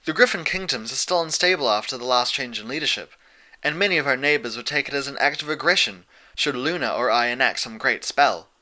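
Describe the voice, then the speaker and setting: A man voicing a gentle but firm, stately pony princess in a fan fiction story, speaking in measured, formal phrases. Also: mid-range voice, lively intonation. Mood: calm authority.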